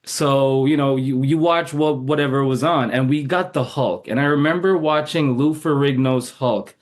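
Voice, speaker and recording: A man's voice: medium pitch, moderate pace. A man from Jamaica, an actor guest, telling stories in a casual interview with lots of laughter, acting out his own past lines. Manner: animated, laughs often, acts out his own past remarks.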